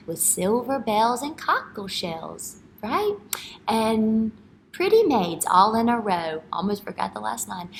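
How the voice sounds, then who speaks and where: A woman in her thirties reads a picture book to young children as their teacher. Her pace is slow and deliberate, with a medium pitch and an expressive, questioning delivery. She is recorded at arm's length indoors, with faint noise behind her. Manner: instructional, expressive, checks understanding with questions and "right?". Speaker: early-grade teacher. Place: indoor school classroom.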